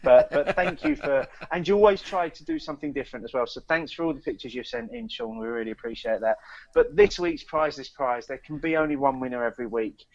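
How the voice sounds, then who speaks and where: A man's voice: fairly high pitch, animated, brisk. A British man co-hosting a casual podcast, talking hesitantly and often repeating his words. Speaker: podcast co-host. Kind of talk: casual chat. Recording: slightly dull, lo-fi audio.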